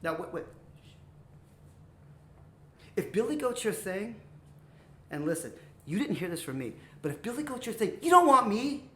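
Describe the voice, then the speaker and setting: A bright, swooping, cocky billy goat acted out by a woman storyteller in an echoey room. This folktale schemer wheedles in slang and repeats words to persuade. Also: medium pitch, sing-song, fairly quick. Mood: wheedling. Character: cocky, fast-talking, scheming middle brother billy goat. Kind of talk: animated comic folktale storytelling.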